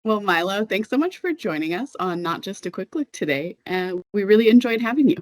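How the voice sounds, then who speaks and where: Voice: mid-range voice, expressive, brisk, dry recording. A podcast host running a casual interview, agreeable and often affirming the guest.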